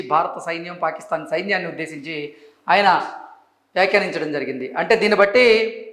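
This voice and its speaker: A man presents news in a very high, bright, forceful voice. He phrases each point with deliberate emphasis. The room adds a noticeable echo. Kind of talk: news report with commentary. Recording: roomy, echoing voice recording.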